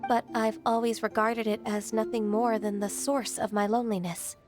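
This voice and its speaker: An actress voicing a gentle, ageless, half-divine secretary in a video game, soft-spoken and earnest. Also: female voice, a little high, fairly even intonation, moderate pace, natural voice. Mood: reflective. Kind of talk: game voice acting. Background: game soundtrack music.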